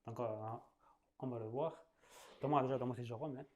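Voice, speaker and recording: A man's voice: medium pitch, steady tone, fairly quick. Southern French man, chatty and loose. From southwest France. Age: 30s. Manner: chatty, loose, full of fillers, laughs at himself. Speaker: sales trainer. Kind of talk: casual spoken masterclass.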